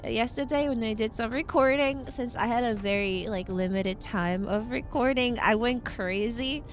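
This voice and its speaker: A woman VTuber with a hoarse voice, chatting casually with her stream, rambling and giggling often. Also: on the high side, normal speed.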